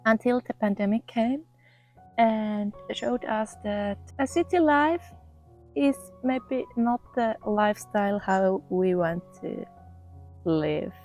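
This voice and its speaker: Woman, relaxed countryside vlogger. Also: medium pitch, faint music behind. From Finland. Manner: relaxed, thoughtful, pauses to search for words. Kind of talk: casual walk-and-talk vlog. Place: outdoors in the countryside.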